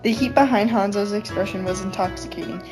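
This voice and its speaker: A woman's voice: mid-range voice, fairly quick, rough recording. A woman reading fan fiction aloud as a podcast reader. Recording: plucked guitar music behind. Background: plucked guitar music.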